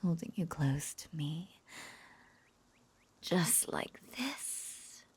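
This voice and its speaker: Breathy obsessive lovesick witch. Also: low voice, lively intonation, drawn-out words, darkish tone, full character voice. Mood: tender, adoring. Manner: cooing, clingy, breathy, often dropping into whispers. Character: obsessive lovesick young witch doting on her captive beloved. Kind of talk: intimate roleplay audio drama.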